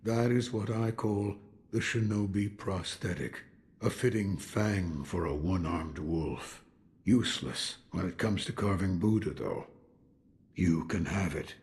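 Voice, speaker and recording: An actor playing a gruff, world-weary old sculptor in a video game, brooding and wry in manner. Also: male voice, low-pitched, unhurried, darkish tone. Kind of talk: video game cutscene acting.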